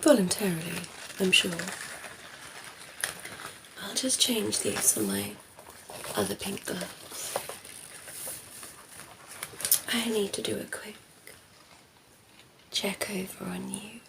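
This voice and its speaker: Woman playing a slow, creaky, flirty vampire lady. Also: mid-range voice, sing-song, noisy background, full character voice. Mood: coy, menacing. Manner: playful, coy, murmuring, often dropping into whisper. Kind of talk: ASMR roleplay. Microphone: close ASMR microphone.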